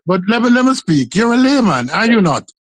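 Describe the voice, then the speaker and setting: A man's voice: high-pitched, sing-song, brisk, no room sound. A man in his sixties debating on a podcast, who keeps repeating pointed questions. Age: 60s. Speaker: podcast guest. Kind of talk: heated casual debate.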